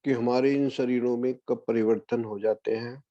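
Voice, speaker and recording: A man guiding a meditation as a spiritual discourse, speaking in a measured way with long pauses. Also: medium pitch, steady tone, mellow, no echo. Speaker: meditation guide. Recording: dull, lo-fi, dry audio.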